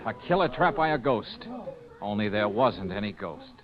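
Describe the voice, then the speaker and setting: An American actor playing a breezy, cocky private detective in a 1940s radio drama, with casual, teasing banter. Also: male voice, on the low side, expressive, normal speed, lightly acted. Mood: wry, confident. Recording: dull 1940s radio recording.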